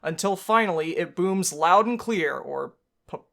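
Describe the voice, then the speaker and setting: A woman's voice: lowish voice, animated, measured pace, gravelly, a bit bright. A woman co-hosting a game playthrough with casual, joking commentary and some read-aloud narration. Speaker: gaming channel co-host.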